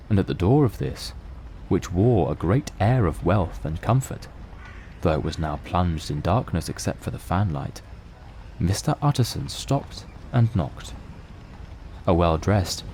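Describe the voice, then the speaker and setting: Male audio-drama narrator: very low, mellow, measured literary read, slight noisy ambience behind. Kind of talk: scripted dramatic story narration.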